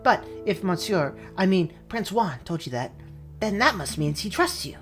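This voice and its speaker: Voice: medium pitch, very animated, normal speed, music in the background, pitched above the performer's own voice, close to an everyday voice. A performer voicing a flustered mouse messenger in a game, hesitant and pleading, repeating words nervously. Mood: urgent.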